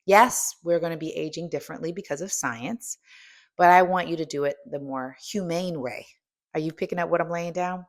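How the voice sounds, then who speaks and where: Woman, fifties, strength coach, emphatic, direct questions, lowish voice. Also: normal speed. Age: 50s. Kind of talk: motivational coaching talk.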